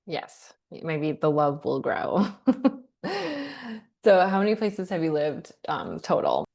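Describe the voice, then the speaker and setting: Woman podcast host, low voice, easygoing questions. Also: slow pace. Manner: relaxed, friendly questions with fillers. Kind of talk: casual interview chat.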